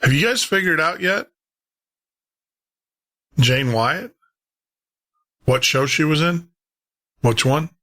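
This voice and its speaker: A man's voice: fairly high pitch, animated, takes it slowly, pauses often, sharp tone. A man hosting a show, chatting to listeners in an informal, rambling way. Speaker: podcast host.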